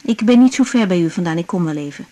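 An actress playing a young woman doctor in a radio drama, calm, caring and gently persistent, speaking in a natural, conversational way. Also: lowish voice, fast talker, lightly acted. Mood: businesslike, concerned. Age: young adult.

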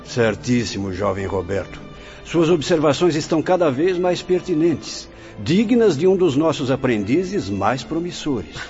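A man's voice: fairly high pitch, animated, measured pace, a little mellow. An actor with a Brazilian accent playing a pious, fatherly elder friar, speaking formally and reassuringly in a radio novel. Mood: kindly, approving. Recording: dull lo-fi audio with faint music.

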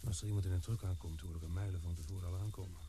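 An actor playing a wary man walking alone on a road in a radio drama, speaking low and cautious. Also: steady tone, racing, natural voice. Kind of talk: radio drama inner monologue. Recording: noisy background.

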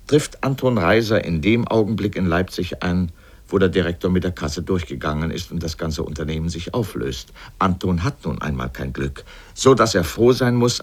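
A man's voice: low voice, slightly rough, full character voice. A man delivering scripted literary commentary for radio in an erudite, formal and ironic manner. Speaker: essayist narrator in a radio feature.

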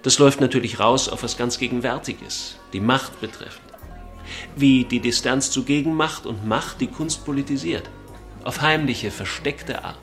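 A man's voice: medium pitch, moderate pace, fairly bright tone, close to an everyday voice. A man plays a theorising art critic in a radio drama. He sounds intellectual, in a middle register. Faint music sits underneath. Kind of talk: scripted radio play acting.

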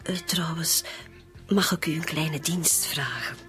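Low-voiced, composed decoy. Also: female voice, slow pace, a bit bright, soft background music. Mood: coaxing, sociable. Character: friendly, cunning hired female decoy, reluctant accomplice. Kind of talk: radio drama acting.

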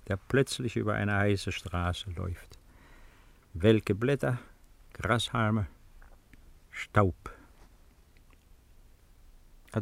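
A man's voice: lowish voice, no echo. An older man playing a brooding, wistful old emperor in a 1950s radio drama, speaking in a reflective, musing way. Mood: melancholy, musing.

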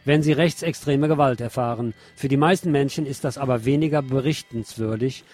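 A man's voice: mid-range voice, brisk, darkish tone. Male radio presenter reading news.

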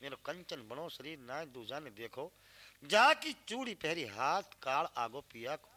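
A man's voice: fairly high pitch, very animated, a little slow, bright, forceful tone. A man performing a folk tale as a singer-storyteller, moving between spoken narration and sung verse and posing questions that he answers himself. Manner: asks rhetorical questions and answers them. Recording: cassette field recording with instrumental music.

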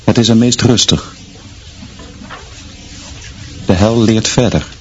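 An actor playing a stern, austere schoolteacher who narrates a radio drama in terse, measured, clipped sentences. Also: male voice, on the low side, close to an everyday voice. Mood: sober, reflective. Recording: dull sound, noise and murmur behind.

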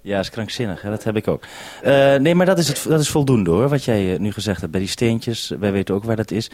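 Low, dry-voiced male radio interviewer. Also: expressive, fairly quick, darkish tone, dry recording. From Netherlands. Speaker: radio programme maker, interviewer. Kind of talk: unscripted interview over radio link.

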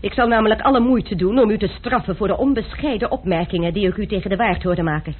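A man's voice: sits high, husky. An actor playing a young male gambler and duelist who talks dryly and mockingly, in a radio play. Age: young adult. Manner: dry, mocking, unhurried. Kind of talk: radio drama acting. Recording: tinny lo-fi radio play recording with hiss.

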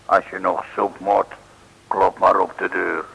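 Surly burly seaman, very deep monotone growl, curt deadpan, old radio serial. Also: a little slow, creaky, heavily acted, low-quality recording. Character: gruff burly male sailor, surly and terse. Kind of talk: 1960s Dutch comic radio serial acting. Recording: hissy old radio recording.

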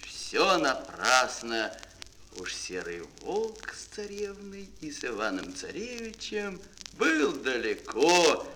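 A male storyteller performs a fairy tale for children with theatrical flair. His fairly high voice moves slowly and lingers dramatically on key words, swooping widely in pitch. Music plays behind him. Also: bright, forceful tone, slight background noise, rough recording. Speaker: storyteller, narrator.

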